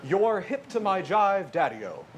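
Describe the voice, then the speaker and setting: Logical, deadpan first officer, acted by a man, slightly high voice with wide inflection, stage parody. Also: takes it slowly, full character voice. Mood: formal, grave. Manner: formal, deadpan. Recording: live stage recording with clear noise.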